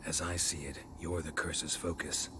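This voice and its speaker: An actor playing a stoic, suspicious, world-weary monster hunter in a video game, gravelly and terse, with deadpan delivery. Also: male voice, normal speed, noisy background. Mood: firm, reasoning. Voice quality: gravelly, low. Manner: terse, deadpan, clipped sentences. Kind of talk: dramatic video game acting.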